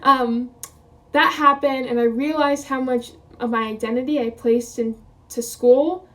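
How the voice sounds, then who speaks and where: A woman's voice: high voice, steady tone, a little slow, fairly bright tone, recorded from a distance. A woman of twenty talking casually to camera as a vlogger, rambling, quoting her own thoughts and laughing often. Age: 20s.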